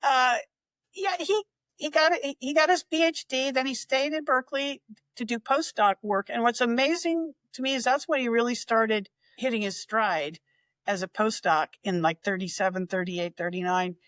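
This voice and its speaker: A woman's voice: fairly high pitch, normal speed, fairly bright tone, no echo, low-quality recording. A woman in her sixties, a regular contributor, telling a history story in a chatty, enthusiastic way. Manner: enthusiastic, chatty, with fillers and restarts. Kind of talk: casual storytelling.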